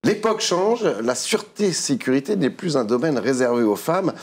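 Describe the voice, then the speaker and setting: A man in the host's chair of a studio interview, asking questions at an easy pace. He hesitates often and fills gaps with small sounds, keeping things loose and friendly. His voice sits at an ordinary middle pitch for a man. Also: normal speed, soft background music. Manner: relaxed, hesitant with many fillers. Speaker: interviewer, show host.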